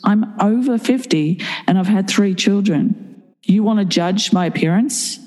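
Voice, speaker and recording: A woman's voice: mid-range voice, measured pace, a little mellow, long reverb tail, rough recording. A woman in her fifties talking frankly and bluntly in a candid interview, swearing casually. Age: 50s.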